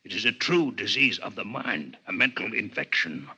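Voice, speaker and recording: An actor playing a grave, coldly intellectual alien physician in a 1950s radio drama, speaking in formal, measured lectures with a husky voice. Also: male voice, on the low side, expressive, sharp tone, heavily acted, rough recording. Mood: grave, lecturing. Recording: 1950s radio broadcast recording.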